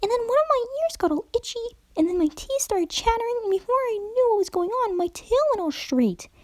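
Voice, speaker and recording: A woman voicing a hyper, rambling pink female pony who talks rapidly and excitedly. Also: very high voice, dry recording, voice pushed up. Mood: frantic rambling.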